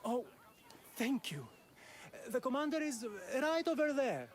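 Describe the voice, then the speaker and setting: Nervous, high-voiced young gambler. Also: male voice, sing-song, measured pace, slight background noise, exaggerated voice. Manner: anxious, plaintive. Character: nervous, hapless young gambler and stage actor. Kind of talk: video game dialogue acting.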